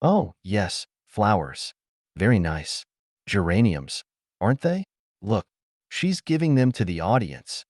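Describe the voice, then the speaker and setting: A man's voice: lowish voice, sing-song, slow, darkish tone, no room sound, exaggerated voice. A man voicing a cool, unflappable young man in an audiobook, nonchalant and dry-witted. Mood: agreeable.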